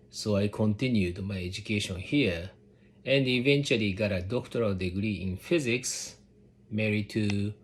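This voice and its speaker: A middle-aged man with a Japanese accent, a native Japanese speaker, talking earnestly and directly to his viewers as a channel host, measured and emphatic, repeating his key points. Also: fairly low pitch. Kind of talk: earnest direct-to-camera address.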